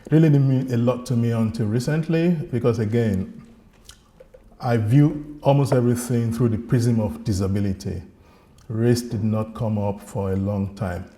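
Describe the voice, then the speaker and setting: Low, mellow man reflecting. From Nigeria. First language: Yoruba. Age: middle-aged. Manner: earnest, reflective, pauses and restarts. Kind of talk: personal talk.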